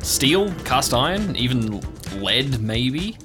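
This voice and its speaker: A man's voice: mid-range voice, big pitch swings, takes it slowly, over music. A man narrating as game master in an improvised tabletop story, describing scenes in an informal, conversational way.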